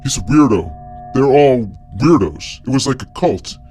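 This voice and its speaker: An actor playing a paranoid, blunt ex-employee whistleblower, giving casual, conspiratorial interview answers. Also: male voice, medium pitch, lively intonation, unhurried, darkish tone, faint music behind, natural voice. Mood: agitated.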